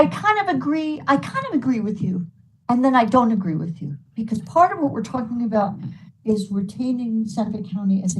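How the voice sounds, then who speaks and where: A woman's voice: a little high, darkish tone, slight background noise, poor audio. A woman county commissioner in a public meeting, hesitant and often correcting herself mid-sentence. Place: meeting room.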